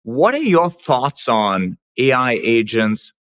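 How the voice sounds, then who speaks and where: A man's voice: mid-range voice, very animated, slow pace, a bit bright. A man from Pakistan co-hosting a podcast, laying out context before asking an earnest, thoughtful question. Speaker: podcast co-host. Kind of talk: conversational podcast interview.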